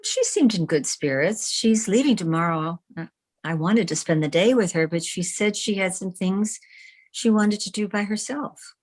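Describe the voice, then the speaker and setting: A woman's voice: medium pitch, animated, normal speed, a little mellow, close to an everyday voice. A woman playing a composed, mischievous newspaper publisher in a radio comedy, speaking politely. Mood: breezy, composed. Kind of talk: old-time radio comedy acting. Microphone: video call.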